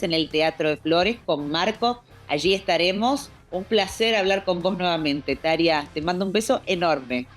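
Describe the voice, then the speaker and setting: A fairly low-voiced woman with an Argentine accent asks questions in a gentle, friendly way, pausing with small fillers. Faint music sits under her voice. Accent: Argentine Spanish. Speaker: radio interviewer. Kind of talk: casual interview.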